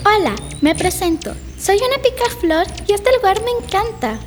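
Woman as an extremely high-voiced cheerful hummingbird. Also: sing-song, normal speed, soft background music. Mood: chirpy. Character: cheerful, sociable mother hummingbird. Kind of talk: school radio play acting.

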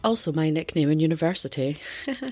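A woman's voice: deep voice, a little fast, over loud music. A woman co-hosting a casual podcast, wry and self-deprecating, often laughing at herself. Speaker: podcast co-host.

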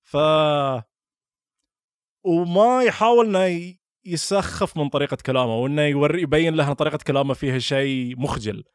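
A young Saudi man from Al-Ahsa with a Hasawi accent, a podcast guest who talks casually with quick restarts. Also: on the high side, no room sound, studio quality. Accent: Hasawi Saudi Arabic. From Saudi Arabia (Al-Ahsa). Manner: quick, halting, with frequent restarts and short laughs. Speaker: podcast guest, content creator. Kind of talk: casual interview talk.

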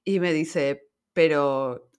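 A woman podcast host being interviewed, speaking in a calm, measured way and laughing easily. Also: deep voice, clear, resonant tone. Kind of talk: casual conversational interview.

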